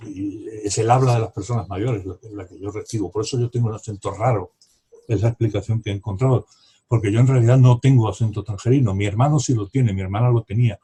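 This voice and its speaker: A husky, very breathy older man in his seventies, from Morocco, with a soft, mellow tone. He rambles as a novelist guest at a book club over a video call, restarting sentences and trailing off into reflective asides. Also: on the low side, quick pace. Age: 70s. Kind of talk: casual reflective conversation.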